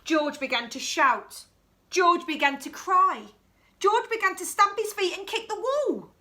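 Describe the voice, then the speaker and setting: A woman reading a bedtime story to young children in an expressive way, putting questions to her listeners. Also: very high voice, unhurried. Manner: animated, acts out dialogue, asks listeners questions.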